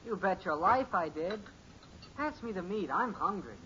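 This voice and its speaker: An actor playing an eager, naive teenage son in a 1950s radio sitcom, earnest and excitable with slangy talk. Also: male voice, sits high, moderate pace. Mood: eager, proud. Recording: muffled, hissy old radio recording.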